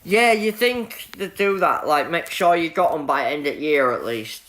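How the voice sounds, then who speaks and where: A young Yorkshire man chats his way through a racing game on stream, greeting viewers by name and joking about his bad luck. His voice sits a touch high for a man, and his talk is easy and matey. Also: expressive, moderate pace, fairly bright tone. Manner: chatty, jokey, greets chat by name, laughs often. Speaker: gaming livestreamer. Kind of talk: casual live-stream chat with viewers while playing.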